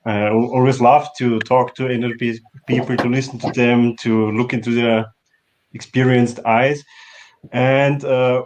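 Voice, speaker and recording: A man giving a personal account as a filmmaker guest, haltingly searching for his words in English. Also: mid-range voice. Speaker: filmmaker guest on a festival panel. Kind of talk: personal account in a panel interview.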